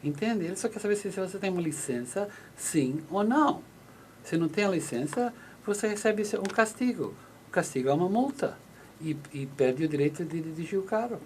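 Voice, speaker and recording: A man's voice: high voice, animated, fairly quick, darkish tone, a little hiss or hum. A man, a lawyer on a radio call-in show, explaining patiently and acting out short exchanges, with many restarts. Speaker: lawyer answering listener questions. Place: radio studio in the US.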